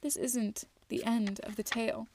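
A woman reading the part of a nervous, tender young boy in a soft, hesitant voice. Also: a little high, steady tone, a little slow, a little hiss or hum. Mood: sad, comforting.

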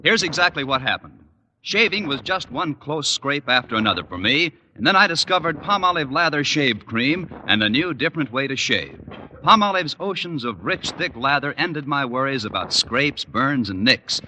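A man's voice: mid-range voice, expressive, normal speed, sharp tone, low-quality recording. A man with an American accent giving a scripted testimonial in an old radio commercial. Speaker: advertising testimonial speaker. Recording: old radio recording with faint noise.

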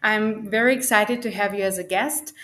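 A woman's voice: medium pitch, moderate pace, bright, forceful tone. Young woman hosting a podcast interview. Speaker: podcast host.

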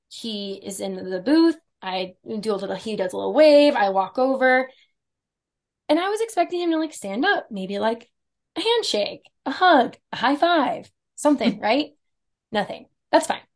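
A woman in her thirties with a fairly deep voice, telling a dating story in casual chat and acting out the quotes. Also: a little slow. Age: 30s. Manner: animated storyteller, acts out quotes, laughs often. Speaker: podcast guest, esthetician.

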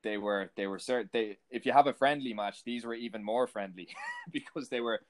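A man's voice: medium pitch, moderate pace. A man hosting an interview, asking long, rambling questions full of fillers like "you know" and "kind of". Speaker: podcast host, interviewer.

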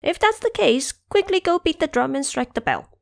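Cocky immortal monkey king played by a woman, high-pitched, swooping, brash and mischievous, for fantasy audio drama. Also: big pitch swings, moderate pace, gravelly, dry recording, studio quality, exaggerated voice. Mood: bold, commanding. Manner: brash, insistent, bargaining.

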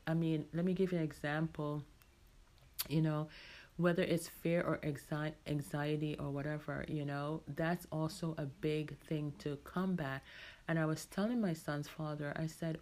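Middle-aged woman, lower-pitched, reflective podcaster. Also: darkish tone. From Jamaica. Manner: reflective, conversational, repeats phrases for emphasis. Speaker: podcast host. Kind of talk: casual personal monologue.

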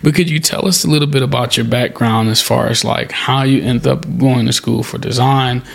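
Podcast host, man, mid-pitched, gravelly, casual and rambling. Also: fairly even intonation, moderate pace. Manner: loose, conversational, many fillers.

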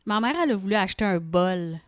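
A woman with a Quebec French accent and a medium-pitched voice, captured dry and close. She banters casually like a podcast co-host, playfully needling her partner and prompting the conversation along. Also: quick pace, no room sound.

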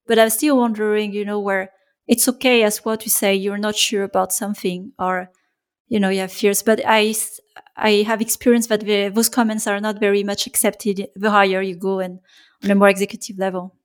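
A woman's voice: medium pitch, flat delivery, brisk. A woman interviewing a guest, sharing her own view in a thoughtful, hesitant way with many fillers. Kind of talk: conversational interview.

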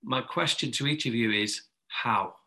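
A man hosts a webinar panel in a bright, forward voice at a mid pitch. He introduces his guests in a friendly, joking way, and his questions are loosely phrased. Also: measured pace. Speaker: webinar host. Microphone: video call.

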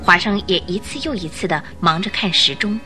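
A woman narrating a radio drama, telling the story in an expressive, suspenseful scripted style. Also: lowish voice, brisk, soft background music.

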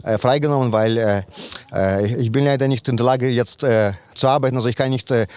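A man from Ukraine, a volunteer helper, answering interview questions in a halting way with many fillers and restarts. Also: mid-range voice, fairly even intonation, a little mellow, clean tone.

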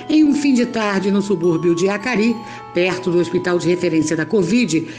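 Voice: mid-range voice, a little mellow. Brazilian storyteller over faint music. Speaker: narrator. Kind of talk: colloquial scripted storytelling narration.

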